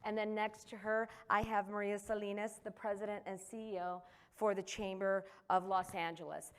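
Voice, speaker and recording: A woman's voice: mid-range voice, level tone, moderate pace, smooth. A woman moderating a panel, introducing the guests with enthusiastic praise.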